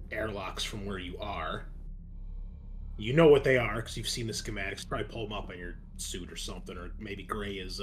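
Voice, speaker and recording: A man's voice: lowish voice, background noise. A man hosting as game master, chatting casually and explaining the scene to players and listeners in a loose, conversational way.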